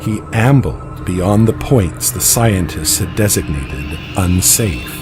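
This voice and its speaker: A man narrates a dramatic audio story over clear background music. His delivery is very slow and suspenseful, set in a lowish register, with deliberate pauses between phrases. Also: lively intonation.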